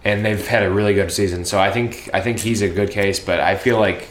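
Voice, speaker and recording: Man, fairly low voice, relaxed joking podcast co-host, echoey room, faint background noise. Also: brisk. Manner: relaxed, jokey, chatty. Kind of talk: casual sports podcast chat. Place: house, indoors.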